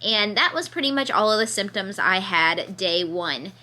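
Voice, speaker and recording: Vlogger, 20s, feverish and congested, light laughs, crisp bright tone, bedside camera. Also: female voice, medium pitch, lively intonation, normal speed. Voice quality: occasional cough, slightly stuffy nose. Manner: chatty, laughs through her complaints. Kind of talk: casual chat to camera. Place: in bed at home, bundled in a sweatshirt.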